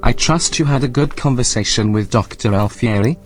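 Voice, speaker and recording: An actor playing a polite, unflappable artificial intelligence in an audio drama, speaking in a calm, formal, courteous way. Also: male voice, medium pitch, moderate pace, faint music behind, lightly acted. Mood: politely apologetic.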